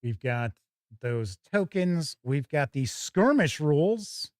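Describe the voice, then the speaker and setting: Casual man, higher-pitched voice, close dry sound. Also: very animated, slow, a little mellow, dry recording. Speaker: host of a tabletop gaming review show. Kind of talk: casual live unboxing commentary, reading out viewer chat.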